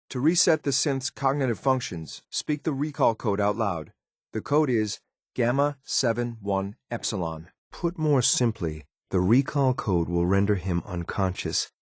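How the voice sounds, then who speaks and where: Stern low-voiced synth enforcer. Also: male voice, no echo, studio quality. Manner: clipped, formal, deferential military address. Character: stern, loyal male synth enforcer, coolly disciplined. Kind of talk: video game companion dialogue.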